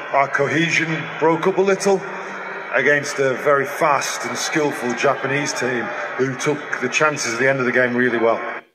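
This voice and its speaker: A male coach reflects in a post-match interview. His mid-pitched voice sits in clearly audible noise, as a clip over the radio. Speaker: rugby coach.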